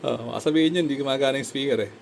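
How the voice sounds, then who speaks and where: A man's voice: medium pitch, very fast, darkish tone. A man giving a casual, conversational product review, talking through each step as he demonstrates. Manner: conversational, narrates actions step by step. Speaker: motorcycle gear reviewer and content creator. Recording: clear background noise, room ambience.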